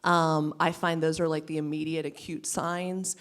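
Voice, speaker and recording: A woman's voice: low-pitched, level tone, normal speed. A woman on a career panel who speaks earnestly about resilience and burnout. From western Pennsylvania, US. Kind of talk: panel discussion.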